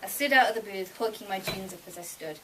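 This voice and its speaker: A woman's voice: medium pitch, fast talker. A woman reads her own story aloud at a live fiction night, expressively moving between narration and dialogue. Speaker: author reading own fiction. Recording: distant mic, noisy room ambience.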